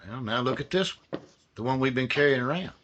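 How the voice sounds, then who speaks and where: An actor playing a calm, methodical male detective partner in a radio drama. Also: mid-range voice, quick pace, highs cut off, noisy background, natural voice. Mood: explaining.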